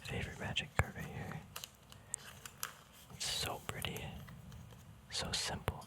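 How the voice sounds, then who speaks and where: A man speaking in a soft, unvoiced whisper, relaxed and chatty, hosting a casual ASMR walkthrough. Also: measured pace. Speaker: ASMR video host.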